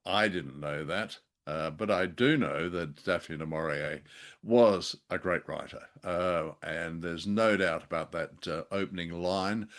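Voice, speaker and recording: A man co-hosting a conversational review show with a droll, halting delivery. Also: low-pitched, a bit bright. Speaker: podcast co-host. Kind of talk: conversational radio drama review.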